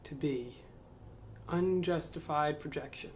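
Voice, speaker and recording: Musing male host reading to camera: slightly high voice, slow self-questioning asides, faint hiss behind. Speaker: channel host reading a book aloud. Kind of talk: reading aloud with reflective commentary.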